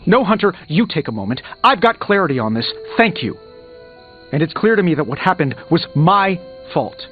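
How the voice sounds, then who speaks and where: An actor playing a proud, guilt-ridden genius superhero in a video game, sarcastic, emphatic and hard on himself. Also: male voice, on the high side, very animated, moderate pace. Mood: defiant guilt. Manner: sarcastic, self-reproaching, emphatic. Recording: muffled game audio under music.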